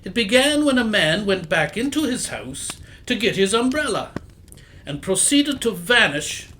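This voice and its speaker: A man playing a genial, old-fashioned gentleman doctor who recounts old cases in an audio drama. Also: high-pitched, big pitch swings, unhurried, slight background noise, exaggerated voice, poor audio. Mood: reminiscing. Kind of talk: audio theatre acting, storytelling.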